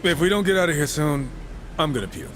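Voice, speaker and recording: Man as a lively, sardonic warrior. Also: on the high side, fast talker, natural voice. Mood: disgusted. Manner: sardonic, slangy. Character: wisecracking, irreverent male warrior, sarcastic and combative. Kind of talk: video game dramatic acting. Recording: music under the voice.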